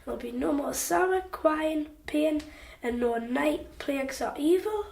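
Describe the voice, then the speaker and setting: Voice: high voice, lively intonation, a little slow, creaky, full character voice. A young performer playing an earnest, plain-spoken eleven-year-old boy in a radio drama. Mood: fervent. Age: child. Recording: echoey, hissy radio drama recording.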